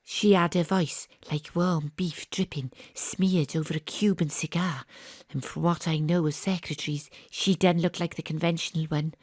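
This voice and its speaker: Wry welsh lady detective narrating, self-mocking, lowish voice, dead dry home mic. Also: breathy edge, no room sound. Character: wry, sporty female amateur detective. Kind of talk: first-person radio drama narration. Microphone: home studio mic.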